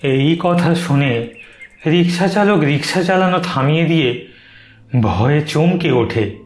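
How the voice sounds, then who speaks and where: A man's voice: fairly high pitch, lively intonation, slow pace. A man narrating a scripted horror story in a dramatic, suspenseful way. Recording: roomy echo. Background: soft music.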